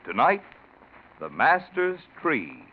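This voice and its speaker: A male sponsor announcer on a thin, faintly hissing vintage radio recording. His voice is fairly high and swings boldly up and down, in classic 1940s commercial style. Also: wildly swooping pitch, slow. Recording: hissy, narrow-band 1940s radio recording.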